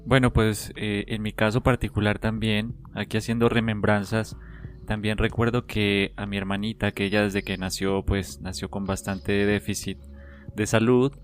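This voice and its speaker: A man with a Colombian accent in casual, reminiscing podcast talk, halting and repeating words as he goes. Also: on the low side, flat delivery, soft background music. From small town in Colombia. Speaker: podcast panelist.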